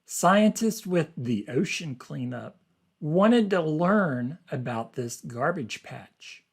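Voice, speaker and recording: A man reads aloud as a teacher would for a class. His pace is very slow and deliberate, with simple phrasing and short explanations. His voice sits at a fairly high pitch for a man. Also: lively intonation, gravelly, husky.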